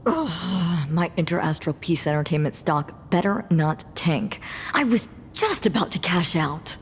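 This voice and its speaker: An actress playing an impatient office worker who keeps complaining. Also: female voice, fairly low pitch, expressive, measured pace, long reverb tail, a little hiss or hum. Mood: annoyed.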